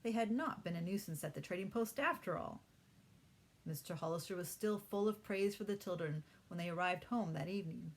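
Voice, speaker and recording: A woman's voice: lowish voice, a little fast, low-quality recording. A woman reading a children's storybook aloud expressively, as a teacher does for her class.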